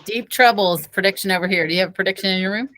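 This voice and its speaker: A woman's voice: mid-range voice, very fast, sharp tone, slight background noise. A woman who is a teacher addressing a class with questions, picked up from a distance by the mic. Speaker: teacher reading aloud.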